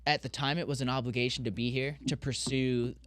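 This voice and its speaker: Smooth-voiced man rambling candidly. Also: mid-range voice, little pitch movement, a little fast, a bit bright, no room sound. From Colorado, USA. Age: 30s. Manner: rambling and candid, many restarts and "like"s. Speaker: guest, pro skateboarder. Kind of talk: casual podcast interview.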